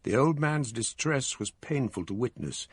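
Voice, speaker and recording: An actor narrating a radio drama in a measured, formal storytelling manner. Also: male voice, mid-range voice, lively intonation, husky, no room sound. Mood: reflective. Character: grown man recalling past events.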